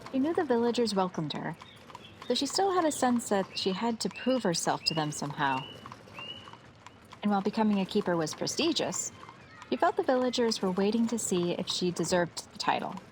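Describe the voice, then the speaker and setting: A woman's voice: medium pitch, very animated, brisk, slight background noise. A female narrator tells a scripted fantasy tale in a mid-range voice. She reads as the storyteller of an audio drama, with faint ambience of animals and clopping hooves behind her.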